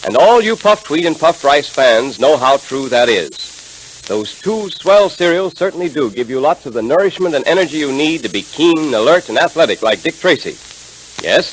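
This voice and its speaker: A man's voice: fairly high pitch, fairly bright tone, low-quality recording. A man announcing in scripted 1930s radio style with emphatic, enthusiastic salesmanship. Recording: hissy vintage 1930s radio transcription.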